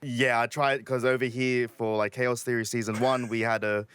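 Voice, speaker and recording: A man's voice: mid-range voice, level tone, moderate pace, a bit bright, smooth, no echo. An Australian man chatting casually on a podcast, rambling along in a slangy, laid-back way.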